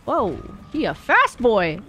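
A woman's voice: fairly high pitch, wildly swooping pitch, slow, fairly bright tone, faint music behind. A woman streamer giving casual, playful live gameplay commentary, chatting along and answering her viewers. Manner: chatty, playful, reading and answering chat aloud.

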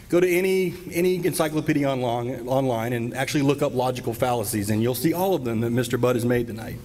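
Middle-aged man, podium debater, forceful and insistent, long hall reverb with a faint murmur behind. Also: mid-range voice, a little hiss or hum. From United States. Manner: argumentative, emphatic, repeats words when pressed. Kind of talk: live debate cross-examination. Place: large auditorium stage. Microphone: podium microphones.

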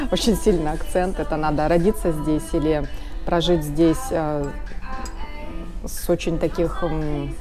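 A woman in her thirties from Russia talks casually in an interview, often stopping on filler sounds and correcting herself. Her voice sits a little low for a woman. Music plays clearly behind her in a small room, picked up by a shotgun mic beside her. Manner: rambling, many filler sounds, self-corrections. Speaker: guest, video blogger. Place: small indoor room with sofas. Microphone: camera-mounted shotgun mic beside her.

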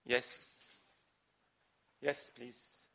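A man's voice: extremely slow, background noise. An older man from Iraq with an Iraqi accent, answering questions as a lecturer at a podium microphone. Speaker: guest lecturer, professor of medicine. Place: large lecture hall.

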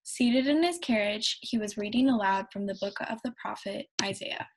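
A young woman reading a Bible passage and an announcement aloud in a measured way, on a webcam at home. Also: medium pitch, poor audio. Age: young adult. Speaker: co-host reading scripture. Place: bedroom at home.

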